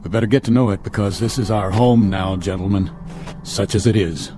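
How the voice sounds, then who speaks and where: An actor playing a stern, weary spaceship captain in a radio drama, speaking with clipped authority. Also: male voice, fairly low pitch, a little fast, warm tone, slight background noise, lightly acted. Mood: grim resolve.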